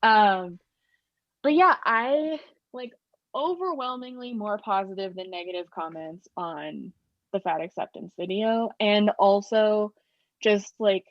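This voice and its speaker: A woman's voice: mid-range voice, slow pace, dry recording, lo-fi sound. A young woman in her twenties, a podcast guest chatting casually with lots of fillers and laughter, with a slightly nasal voice. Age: 20s. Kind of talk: casual chat.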